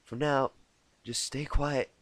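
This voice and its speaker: A man voicing a calm, confident female farm pony with a folksy, easygoing manner in a cartoon fan story. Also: medium pitch, takes it slowly, dry recording. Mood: steady, reassuring.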